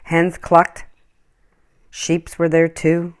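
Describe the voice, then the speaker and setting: A woman reading a children's story aloud in an expressive, acted-out storytelling style. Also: on the low side, very slow, clear, resonant tone. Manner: animated, acts out dialogue and animal sounds. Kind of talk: expressive scripted storybook narration.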